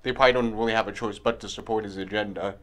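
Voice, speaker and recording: A man guesting on a political talk show, making a measured point. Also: lowish voice, fairly even intonation, fairly bright tone. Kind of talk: casual political discussion.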